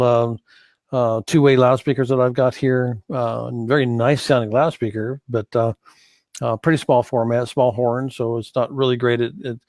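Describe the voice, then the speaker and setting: A man giving an informal screen-share tutorial as an instructor, thinking aloud in a halting way and correcting himself as he goes. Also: medium pitch, a little mellow, no echo, studio quality. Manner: halting, hesitant delivery with self-corrections. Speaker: course instructor in church sound.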